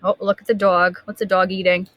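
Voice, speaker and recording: A woman teacher reading a picture book aloud to young children expressively, stopping to put questions to her listeners. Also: medium pitch. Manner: expressive, dramatic gasps and emphatic drawn-out words.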